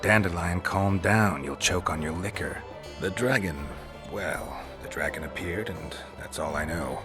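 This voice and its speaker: An actor playing a stoic fantasy monster hunter in a video game, terse, dry and sardonic. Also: male voice, low voice, a little slow. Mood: calm, matter-of-fact. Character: stoic, gravelly-toned male monster hunter, dryly sardonic. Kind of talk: cinematic fantasy game acting. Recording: music behind the voice.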